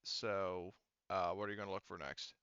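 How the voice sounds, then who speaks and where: A man running an online tabletop game as game master, speaking casually and haltingly as he thinks aloud through sums. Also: sits low, little pitch movement, bright, forceful tone, clear, resonant tone, no echo, dull audio. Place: remote online voice call.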